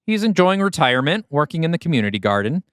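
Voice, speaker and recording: A man's voice: on the high side, big pitch swings, fast talker, fairly bright tone, clean tone, dry recording, clean studio sound. A man playing an anxious, guarded young engineer and dutiful son in a naturalistic, hesitant audio drama performance. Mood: defensive, casual. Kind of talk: acted dialogue in an audio screenplay reading.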